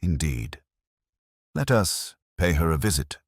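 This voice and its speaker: A man voicing a mild, courteous police inspector in a mystery story, calm, measured and politely formal. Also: very deep voice, dry recording, clean studio sound, heavily acted. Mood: brisk, composed. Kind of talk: audio mystery story dialogue.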